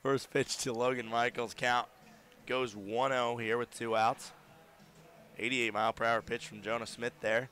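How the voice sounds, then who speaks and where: A young adult man calling live baseball play-by-play on the radio from a ballpark booth. He keeps a steady call and lifts his voice when a ball is put in play. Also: medium pitch, measured pace. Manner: steady, builds up on hits.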